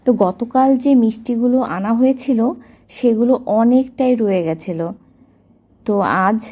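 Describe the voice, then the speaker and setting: A woman's voice: a little high, normal speed, mellow. A woman narrating a casual daily household vlog as a homemaker, chatting to her viewers. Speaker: homemaker vlogger.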